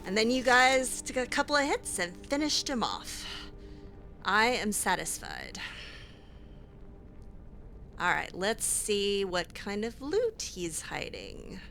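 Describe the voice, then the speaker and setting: A woman hosts a game playthrough in character, with wry asides. Her voice is crisp-edged and bright, and she takes her time over soft background music. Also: medium pitch, big pitch swings, slow, long pauses. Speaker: gaming video host playing in character.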